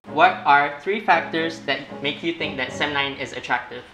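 An off-camera interviewer asking the team questions in a brisk way. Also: medium pitch. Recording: roomy room, loud music.

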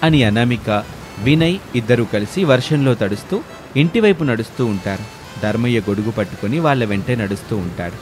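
A man narrates a scripted story. His voice sits at an ordinary male pitch. Soft music plays behind him. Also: expressive, brisk.

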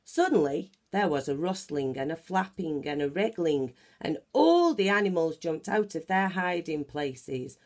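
A woman reads a picture book aloud to young listeners. Her voice sits a little low and moves very slowly, with lively swings in intonation. She is deliberate and expressive, as a storyteller. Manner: animated, deliberate, acts out sound effects. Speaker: children's story reader. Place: small bedroom at home, indoors.

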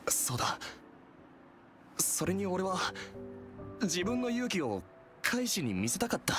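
An actor playing a timid, remorseful young man in a game cutscene, speaking softly and blaming himself. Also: high-pitched, huge pitch swings, a little slow, music in the background, heavily acted. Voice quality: soft, self-reproaching. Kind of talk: Japanese game voice acting.

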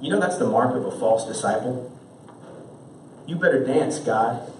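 A man's voice: medium pitch, lively intonation, moderate pace, a little mellow, a little hiss or hum. A young man preaching a sermon at a stand mic on a church stage, earnest and full of rhetorical questions. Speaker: pastor. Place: church stage with band gear, indoors. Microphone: stand mic, far camera. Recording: roomy church sound from far off.

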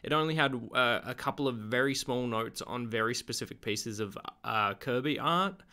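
A young man, slightly hoarse from being sick, chatting in a relaxed, rambling way with lots of ums in a video diary at home. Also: mid-range voice, moderate pace. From Australia. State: slightly hoarse, getting over an illness. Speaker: vlogger.

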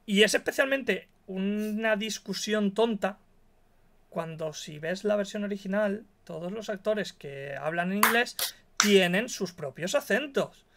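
A man's voice: high voice, measured pace, vocal fry, fairly bright tone, slight background noise. A young man co-hosting a casual streamed chat from a dim home room on a headset call. He argues his opinion emphatically, point by point. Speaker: co-host.

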